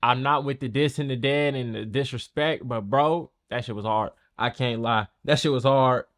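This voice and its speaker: A man's voice: mid-range voice, normal speed, fairly bright tone. A man hosting a reaction video, commenting casually and bluntly in heavy slang and repeating his phrases in disbelief.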